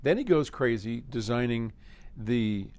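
A man in his seventies speaks to camera in an indoor workspace. He explains in a conversational, unhurried way, working out his thoughts as he goes. His pitch sits in the middle range for a man. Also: animated, a little mellow. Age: 70s. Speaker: company head hosting a question-and-answer video. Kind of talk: casual explanatory talk to camera. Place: large indoor office workspace with hard floor.

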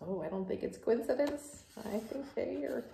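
A middle-aged woman reads a storybook to young children in an echoey room. She slips in chatty asides and puts questions to her listeners, with a lively, varied pitch. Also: normal speed, vocal fry. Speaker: teacher reading picture books aloud. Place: indoor room with toys.

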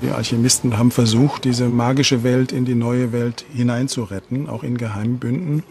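A man's voice: medium pitch, steady tone, moderate pace, a little mellow, faint music behind. Hesitant man explaining in an interview. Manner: hesitant, searching for words. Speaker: interviewed expert.

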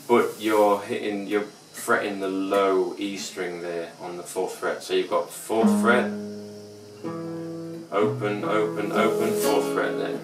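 A man in his forties, a bit hoarse, giving a casual, rambling guitar tutorial at home with many restarts. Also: lowish voice. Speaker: guitar teacher. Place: small cluttered room at home. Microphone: camera mic from a few feet away. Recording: echoey room, distant camera mic, guitar playing. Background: acoustic guitar playing.

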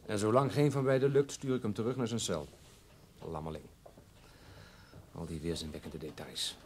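A tired police detective played by a male actor in a radio play, low-voiced and disapproving. There is faint noise in the recording. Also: steady tone, normal speed, close to an everyday voice. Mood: disgusted. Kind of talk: radio drama acting.

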